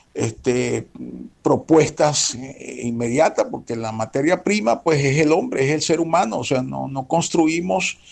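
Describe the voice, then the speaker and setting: A man's voice: mid-range voice, gravelly, darkish tone. A man with a Venezuelan accent giving an earnest interview answer, repeating phrases for emphasis. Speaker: guest, security company manager.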